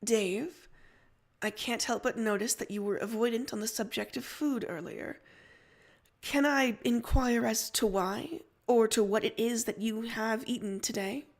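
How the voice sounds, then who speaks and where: A woman's voice: a little high, a little slow. A woman voicing a prim, probing teenage girl with a formal, insistent way of talking, in a story reading.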